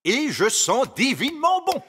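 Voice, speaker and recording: A man's voice: very high-pitched, wildly swooping pitch, a little slow, a bit bright, breathy edge, exaggerated voice. A man playing a vain, pampered fairy king and father in a children's cartoon. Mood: pompous, content.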